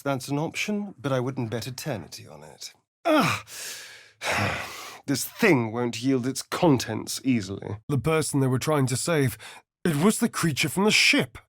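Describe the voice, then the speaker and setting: An elegant snarky male vampire rogue for a game, arch and dramatic, very animated, dry close recording. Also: medium pitch, takes it slowly, slightly rough, no room sound, exaggerated voice. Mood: arch, sardonic. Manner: arch, theatrical, drawling, disdainful. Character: sardonic, foppish male vampire spawn rogue, elegant and theatrical. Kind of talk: video game voice acting, companion dialogue and narration.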